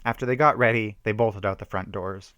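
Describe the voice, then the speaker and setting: A man's voice: fairly low pitch, fairly even intonation, a little fast, no echo, highs cut off. Dramatic amateur male story reader, dry sound. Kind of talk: amateur dramatic reading of a homemade horror story.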